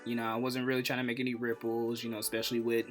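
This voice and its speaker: A man in his twenties with an American accent, hosting a casual storytelling vlog in an animated, playful, slangy way. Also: medium pitch, rapid-fire, soft background music. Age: 20s. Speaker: YouTube host.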